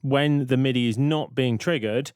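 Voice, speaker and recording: A man narrating a software tutorial in an informal, explanatory way, thinking aloud as he goes. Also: medium pitch, moderate pace, smooth, no echo, clean studio sound. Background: synth and vocoder demo sounds.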